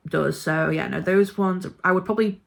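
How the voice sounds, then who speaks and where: A woman with a slightly low voice talks in a casual, informal vlog style. She reviews books in an emphatic, gushing manner, as if chatting to followers. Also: normal speed, gravelly. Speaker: book reviewer vlogger. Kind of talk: casual chat ranking books.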